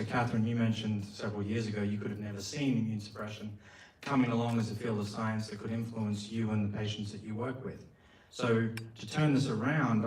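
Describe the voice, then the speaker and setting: A man in the audience, asking the panel a long, formal question. His voice is slightly low and sounds far from the mic, with the echo of a large hall. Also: slight background noise. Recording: roomy hall, mic at a distance.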